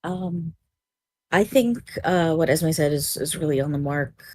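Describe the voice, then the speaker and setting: A woman with a husky voice, talking casually as a podcast panelist and pausing to repeat words as she thinks. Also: deep voice, a little mellow, no room sound, studio quality. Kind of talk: casual discussion.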